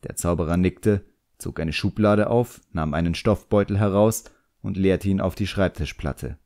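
A man's voice: deep voice, moderate pace, a little mellow. A man narrating a fantasy novel as a scripted audiobook, in a storytelling style.

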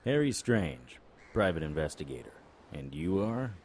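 An actor playing a cocky, unflappable supernatural private investigator in an audio drama, full of wry, flippant banter. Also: male voice, deep voice, very animated, moderate pace. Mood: brisk, cocky.

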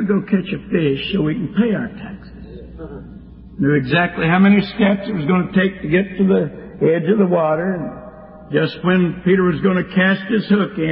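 A high-pitched man preaches in a church, building fervent, rhythmic cadences. The recording is dull and lo-fi, with a long reverb trailing off the walls. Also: vocal fry. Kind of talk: sermon.

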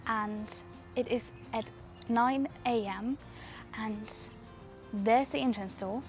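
Higher-voiced young woman vlogging. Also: slow, soft background music. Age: 20s. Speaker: vlogger, presenter. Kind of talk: travel vlog presenting to camera. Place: outdoors in a churchyard. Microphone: clip-on lapel mic.

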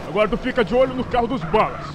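A man's voice: high-pitched, lively intonation, racing. A man with a Brazilian accent playing a bossy, touchy gang leader in a noisy game fan dub. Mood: urgent, angry. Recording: noisy, gunfire and car sounds.

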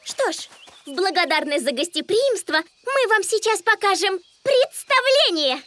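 A woman's voice: very high-pitched, sing-song, measured pace, heavily acted. A woman voicing a cheeky young female porcupine trickster in a children's cartoon, showy and coaxing. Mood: coaxing, curious. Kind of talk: animated children's cartoon acting. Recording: music behind the voice.

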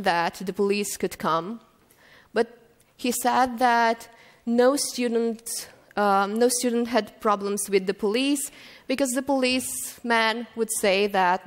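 A woman in her thirties speaks into a stand mic on a conference stage, her voice carrying a long reverb through the hall. She tells her stories in a relaxed way, with frequent ums and small restarts. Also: mid-range voice. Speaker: keynote speaker. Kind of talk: conference talk. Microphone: stand mic at lectern.

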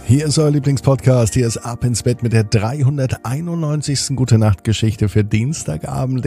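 A man hosting a children's podcast, narrating a bedtime story in a deliberate way and speaking directly to young listeners. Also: mid-range voice, gravelly, mellow. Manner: addresses children directly, slow deliberate phrasing with repetitions.

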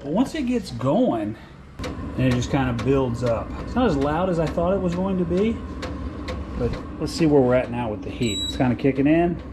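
A man's voice: mid-range voice, sing-song, moderate pace, roomy sound, background noise. A middle-aged man casually explaining and demonstrating equipment at a workbench in his home garage. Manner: chatty, hands-on explaining. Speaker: outdoor gear vlogger. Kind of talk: casual product demo.

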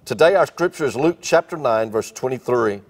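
A man's voice: medium pitch, expressive, normal speed. A middle-aged man leading a devotional panel as pastor, earnest and encouraging, speaking into a handheld mic outdoors. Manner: earnest, encouraging, repeats words. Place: outdoor stage by a wooden fence.

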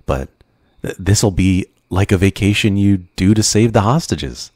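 A man playing a very deep, brooding super villain. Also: lively intonation, darkish tone, no echo, clean studio sound. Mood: coaxing. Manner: theatrical, slow, brooding, with dramatic pauses. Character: obsessive, self-pitying, lovesick male super villain. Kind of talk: ASMR roleplay monologue. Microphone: close studio mic, dry.

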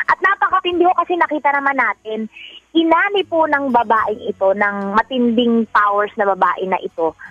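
A woman's voice: a little high, fairly bright tone, no room sound, muffled recording. A woman with a Filipino accent co-hosting a radio advice show from her bedroom, earnest and motherly when giving advice. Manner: earnest and motherly when advising, giggly in banter. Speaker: radio co-host. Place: own bedroom.

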